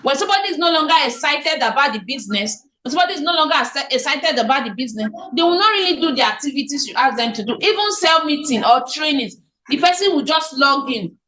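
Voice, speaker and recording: A woman's voice: high voice, animated, fast, pushed and bright. A woman with a Nigerian accent leading an online training, repeating points and answering her own questions. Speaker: team leader. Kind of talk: motivational business training. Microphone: online meeting call. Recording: echoey room on a call.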